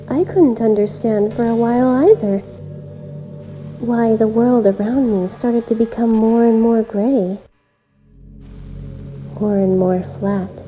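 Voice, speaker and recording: A lonely, obsessive game girl, acted by a woman, speaks in a highish, very soft and mellow voice over prominent music. She addresses the listener gently and intimately, with sweet menace, like a self-aware visual novel character. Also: poor audio. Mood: wistful, devoted. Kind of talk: visual novel character monologue, amateur voice acting.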